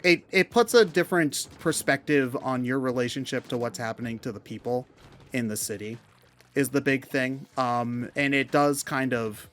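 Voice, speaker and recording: Male game commentator, medium pitch, conversational, talks through his points in a loose and wandering way. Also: moderate pace, a bit bright. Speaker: commentator on a gaming show. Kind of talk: casual discussion of video games.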